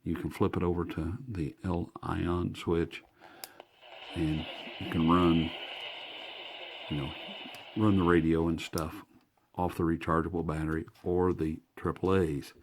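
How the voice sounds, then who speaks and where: A man's voice: deep voice, level tone, unhurried, pauses often, low-quality recording. An American man giving a casual, hands-on product review in an enthusiastic, folksy way, recorded indoors. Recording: faint background noise, indoor room.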